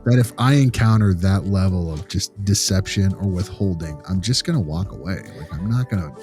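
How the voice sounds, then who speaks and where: A man in casual podcast discussion, speaking in an animated, blunt and informal way. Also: low-pitched, brisk, soft background music.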